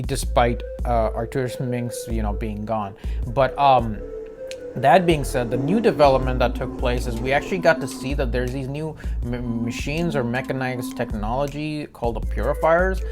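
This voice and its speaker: A man giving informal, rambling commentary as a reaction video host, often searching for words. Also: mid-range voice. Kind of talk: casual commentary on game cinematics.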